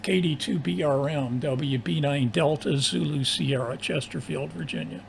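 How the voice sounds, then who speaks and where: A man chatting casually as an amateur radio operator from his home station, in halting, hesitant phrases. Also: a little high, gravelly, a little hiss or hum.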